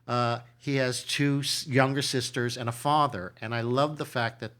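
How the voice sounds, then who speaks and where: A man's voice: medium pitch, fairly even intonation, normal speed, fairly bright tone. Easygoing man co-hosting a podcast. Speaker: podcast co-host. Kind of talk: casual movie review chat.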